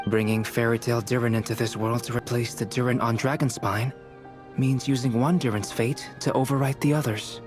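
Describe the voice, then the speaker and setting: A calm, scholarly young alchemist, voiced by a man lays out his theories in a measured, analytical way. His voice sits at an ordinary, mid-range pitch. Game music plays softly behind him. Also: close to an everyday voice. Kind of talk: game cutscene voice acting. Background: background music.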